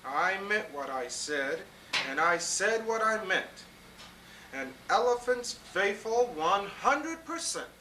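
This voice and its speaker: A man's voice: sits high, sing-song, takes it slowly, noisy background, voice pushed up, full character voice. A man voicing a faithful, stubborn male elephant in a storybook, speaking steadily and earnestly. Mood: steadfast. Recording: roomy, distant mic.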